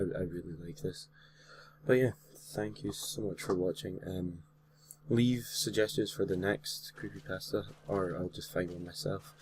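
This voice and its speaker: A man with a deep voice reads a creepy tale in an amateur narration style. His delivery stays flat, with the odd stumble and self-correction. The home recording has clear noise behind him. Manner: stumbles over words and corrects himself. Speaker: host reading creepypasta stories. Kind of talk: reading a creepy story aloud, amateur narration.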